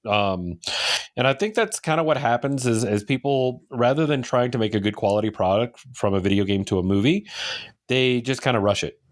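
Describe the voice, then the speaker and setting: A man with an average-pitched voice co-hosts a casual podcast. He talks informally and gives his opinions freely, in a loose conversational style. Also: expressive, fairly quick. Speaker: podcast co-host.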